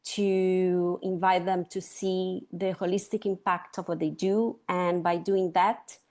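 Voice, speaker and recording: A woman's voice: lowish voice, flat delivery, takes it slowly, a little mellow. A woman in her fifties from Chile with a Chilean Spanish accent, explaining ideas step by step as an interview guest. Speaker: guest, sustainability consultant. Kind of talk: conversational interview.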